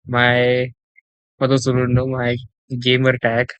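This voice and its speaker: A young man from India hosting a casual podcast, talking in a halting way and often restarting his sentences. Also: medium pitch, monotone, fairly bright tone, clear, resonant tone, no room sound, poor audio. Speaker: podcast host. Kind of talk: casual chat.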